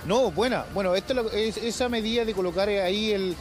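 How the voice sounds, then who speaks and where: A man's voice: high-pitched, a little fast, soft background music. A middle-aged man with a Chilean accent, interviewed outdoors on a city street, talking hesitantly with many fillers. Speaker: interviewed local witness. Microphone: reporter's handheld mic.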